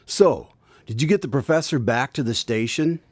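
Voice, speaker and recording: A man's voice: medium pitch, lively intonation, moderate pace, slightly rough, dry recording. A man playing the eager, friendly host of a radio mystery drama, presenting in an old-time announcer style. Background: guitar music.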